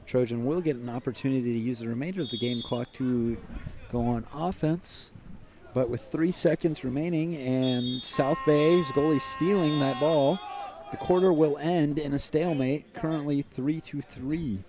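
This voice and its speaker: Male play-by-play commentator for live sports coverage, medium pitch. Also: moderate pace, a crowd in the background. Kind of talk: play-by-play water polo commentary.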